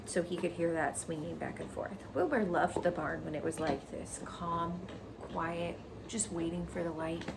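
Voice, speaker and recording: A woman's voice: lowish voice, a little slow, vocal fry. A woman teacher reading a children's story expressively, with casual explanations. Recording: noticeable background noise.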